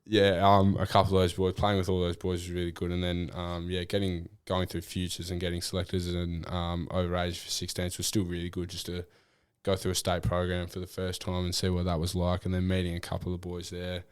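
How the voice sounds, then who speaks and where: Young australian man, low voice, monotone, rambling with fillers. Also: brisk, few pauses, clean tone, no room sound, studio quality. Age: young adult. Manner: relaxed, rambling with fillers and restarts. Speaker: draft prospect guest. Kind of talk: casual interview.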